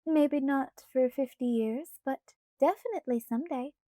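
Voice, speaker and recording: Bubbly, wheedling young female vampire, read by a woman, high and bright, dry studio. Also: moderate pace, no room sound, natural voice. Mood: chirpy. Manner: wheedling, sing-song, eager. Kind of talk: audiobook character voice.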